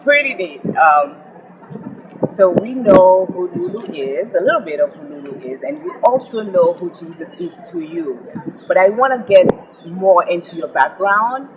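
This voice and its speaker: A man interviewing a guest about her faith, upbeat and affirming, quick to agree. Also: high voice, very animated. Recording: dull, noisy recording.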